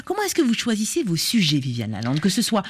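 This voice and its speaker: A woman's voice: medium pitch, huge pitch swings, fast talker, a little hiss or hum. Woman radio host, asks questions in a bouncy up-and-down voice, a few ums. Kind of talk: radio interview.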